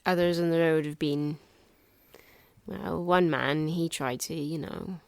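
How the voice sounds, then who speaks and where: A shy teenage hitchhiker girl , played by a woman, speaks very slowly and haltingly in a horror audio drama. Her voice sits a little low, with plenty of vocal fry, in a dry recording. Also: fairly even intonation, no room sound, full character voice. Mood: timid, upset. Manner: halting, hesitant.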